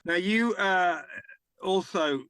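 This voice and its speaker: An older man hosting a TV talk show, interviewing a guest in a halting way full of fillers. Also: high-pitched, a bit bright, clear, resonant tone, no echo. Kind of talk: studio interview.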